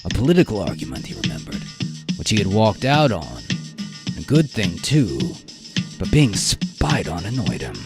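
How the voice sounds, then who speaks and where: A man narrating a live radio drama in a theatrical manner, with measured pauses and a musical score behind him. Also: on the low side, huge pitch swings, loud music behind the voice.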